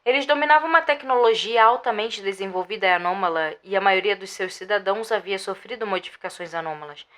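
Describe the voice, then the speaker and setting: A woman with a Brazilian Portuguese accent playing a researcher, reading a scripted scientific report in a formal, measured way. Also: medium pitch, pushed and bright, natural voice. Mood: formal, expository. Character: female researcher presenting formal findings on an anomaly. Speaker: narrator.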